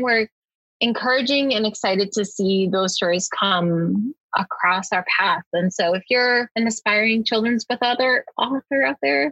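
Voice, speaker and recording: A woman whose family comes from Hyderabad, answering interview questions about her children's books in a quick, chatty way with many fillers. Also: mid-range voice, a bit bright, husky, no room sound, rough recording. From family from Hyderabad, India. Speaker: children's book publisher.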